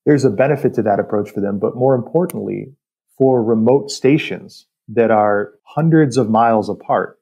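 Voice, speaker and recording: A man speaking as an expert podcast guest, explaining industrial work in a measured, technical way. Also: on the low side, vocal fry, warm tone, husky. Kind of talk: explanatory interview talk about industry.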